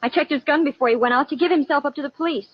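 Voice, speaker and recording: An actress playing an anxious, devoted young sweetheart, fretful and tender, in 1950s radio mystery style. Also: female voice, high voice, very fast, no echo, close to an everyday voice. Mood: concerned, firm. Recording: dull, muffled 1950 radio broadcast.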